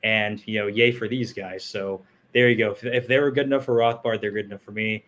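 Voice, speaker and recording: A man's voice: on the low side, steady tone, brisk, a bit bright. A man co-hosting a casual online chat show over an internet call, blunt, opinionated and joking. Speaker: co-host. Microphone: home setup over internet call.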